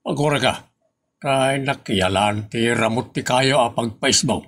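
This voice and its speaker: A man acting in an Ilocano comedy drama, speaking his lines in a lively, bantering way. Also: medium pitch, unhurried.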